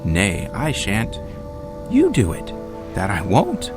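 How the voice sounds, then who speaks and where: A man voicing bustling, bickering little animal workmen shouting instructions in a children's storybook. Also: fairly low pitch, wildly swooping pitch, slow, exaggerated voice. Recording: loud music under the voice.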